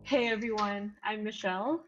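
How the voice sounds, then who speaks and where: A young woman from Indonesia, a startup co-founder, answering interview questions over a video call in a steady, matter-of-fact way. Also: mid-range voice, moderate pace, muffled recording, faint music behind. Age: young adult.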